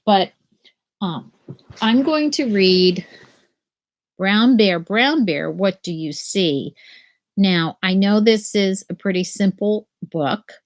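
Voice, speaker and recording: An older woman teaching children from home over a webcam, explaining a picture book and then reading it aloud in a gentle sing-song. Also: medium pitch, lively intonation, slow pace, long pauses. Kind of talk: children's read-aloud lesson. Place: small room at home. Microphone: laptop webcam video call.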